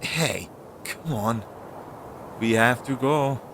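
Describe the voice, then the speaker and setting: A man playing an anxious, self-doubting magical familiar in an audio roleplay, speaking hesitantly and fretfully to his master. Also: slow pace. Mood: pleading, urging. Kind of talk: scripted audio roleplay, one-sided dialogue. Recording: low-fidelity audio with clear background noise.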